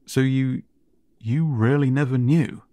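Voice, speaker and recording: A man voices a gentle male siren in an intimate audio drama. He speaks slowly at a middling pitch, stumbling over his words with concern. His delivery often softens into a breathy, careful hush. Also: no echo, natural voice. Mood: worried, baffled. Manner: halting, stammering, breathy reassurance with frequent dips to whisper. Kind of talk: audio roleplay addressed to the listener.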